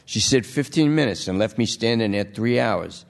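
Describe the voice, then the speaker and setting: A man's voice: on the low side, animated, normal speed, creaky, voice pushed up. A man voicing a jittery, wheedling male junkie under arrest who pleads and rambles. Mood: pleading.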